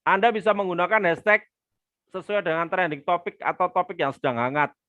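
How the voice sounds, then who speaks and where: Chatty Indonesian business coach, smooth voice. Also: male voice, sits high, fairly quick, sharp tone, no room sound, lo-fi sound. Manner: chatty, addresses viewers as friends, reads comments aloud. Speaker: business trainer hosting a live webinar. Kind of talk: live-stream teaching with viewer shout-outs.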